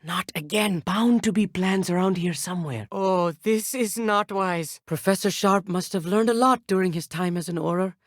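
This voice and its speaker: An actor playing an earnest, bookish teenage schoolboy wizard in a video game, polite and a little nervous, with precise, slightly formal speech. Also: male voice, high-pitched, moderate pace, few pauses, no echo, clean studio sound, close to an everyday voice. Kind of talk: scripted video game dialogue.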